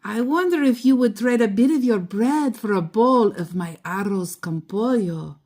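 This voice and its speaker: A woman's voice: a little high, normal speed, a little mellow. A woman voicing a polite, friendly grandmother in a children's storybook, speaking courteously. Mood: polite, eager. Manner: courteous, storybook character delivery. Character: polite, friendly grandmother asking neighbours for trades.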